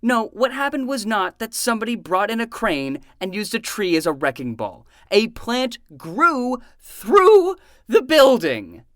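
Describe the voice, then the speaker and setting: Casting: a woman as a harried, sarcastic librarian man for audio drama, swinging pitch, close dry sound. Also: sing-song, unhurried, hardly any pauses, no echo. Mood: exasperated. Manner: exasperated, sarcastic, ranting. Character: exasperated, sarcastic male librarian, grown adult. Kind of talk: fiction podcast acting.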